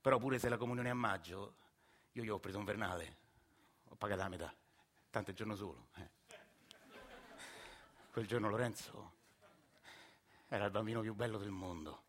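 An actor in his forties playing a working-class Roman father who tells his story in a quiet, wry, confiding way, with a Roman accent. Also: fairly low pitch, normal speed, long pauses, close to an everyday voice. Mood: calm recounting. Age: 40s. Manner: soft-spoken, rambling, wry confiding storyteller. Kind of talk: radio drama monologue.

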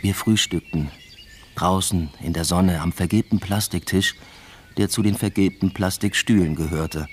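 A man's voice: sits low, moderate pace, slight background noise, natural voice. An actor playing a brooding academic father who narrates his own story in a radio drama, subdued and introspective. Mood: subdued, pensive. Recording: music bed behind the voice.

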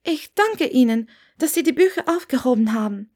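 A woman's voice: high-pitched, expressive, moderate pace, darkish tone, voice pushed up. A woman voicing a reserved, weary young woman who is polite, hesitant and evasive, in an audiobook story.

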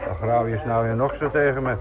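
A man's voice: mid-range voice, steady tone, fast talker, lightly acted. An actor in a Dutch radio play, playing a stubborn, sardonic male police inspector with a dry, probing, ironic way of talking. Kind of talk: Dutch radio drama acting. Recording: dull, band-limited old radio recording.

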